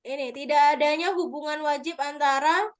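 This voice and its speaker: High-voiced woman teacher explaining on a call. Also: steady tone, normal speed. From Madura. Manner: explains patiently, checks answers with participants. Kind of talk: online exam review lesson.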